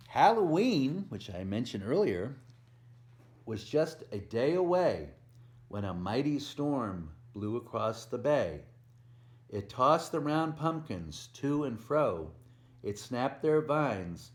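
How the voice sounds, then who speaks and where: A man reads a picture book to small children at a very unhurried pace, in a mid-pitched voice. He leans on the rhymes and pauses to explain things simply or put a question to the young listeners. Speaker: volunteer children's story reader. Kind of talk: read-aloud picture book storytime for preschoolers.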